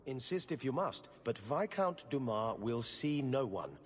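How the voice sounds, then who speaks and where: A man's voice: mid-range voice, sing-song, measured pace, big-room sound. An actor playing a stiff, haughty male court official who speaks in a formal, curt and officious way.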